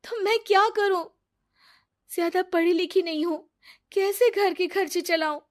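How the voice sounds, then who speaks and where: A woman's voice: extremely high pitch, measured pace, pitched above the performer's own voice. A woman voicing a distressed young mother in a cartoon moral story, pleading and emotional yet determined.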